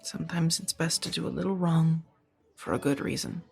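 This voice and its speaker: Woman acting as kind conflicted ex warrior monk farm lady, asmr roleplay, soft n breathy, bit creaky, halting. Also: low-pitched, soft background music, heavily acted. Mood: conflicted, earnest. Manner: hesitant, soft-spoken, earnest. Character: gentle former Jedi woman turned farmer, kind, conflicted.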